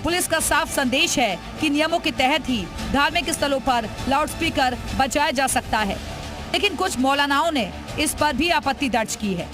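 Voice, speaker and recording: A woman's voice: high voice, normal speed, over music. A woman reading a scripted news report voice-over in a dramatic, emphatic way.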